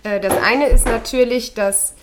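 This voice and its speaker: A woman chatting casually as a podcast co-host, teasing her partner with quick questions and then giving thoughtful replies. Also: a little high, noisy background. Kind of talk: casual chat.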